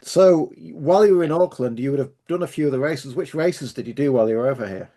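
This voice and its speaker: A man's voice: mid-range voice, fast, warm tone. A man hosting an interview podcast, friendly and casual, often restarting his sentences. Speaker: podcast host.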